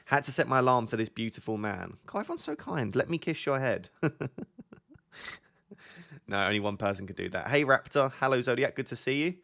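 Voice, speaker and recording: A man's voice: medium pitch, big pitch swings, brisk, gravelly, fairly bright tone. A young man hosting a casual live stream, chatting and reacting to viewers' messages in a self-deprecating way. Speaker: streamer reading live chat.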